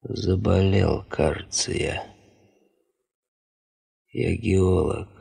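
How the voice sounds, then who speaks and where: An actor playing a sick but stubborn, dutiful young geologist in a radio drama. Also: male voice, low-pitched, steady tone, drawn-out words, vocal fry, dark tone, husky, heavily acted. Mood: weak, delirious. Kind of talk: Soviet radio drama acting. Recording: reverberant 1970s radio play studio recording.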